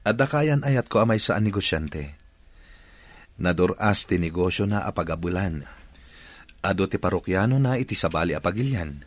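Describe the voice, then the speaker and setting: An actor with an Ilocano accent playing a devoted, respectful young son in a radio drama. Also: male voice, sits low, lively intonation, moderate pace, long pauses, no echo. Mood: earnest. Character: devoted, respectful young adult son, earnest about love.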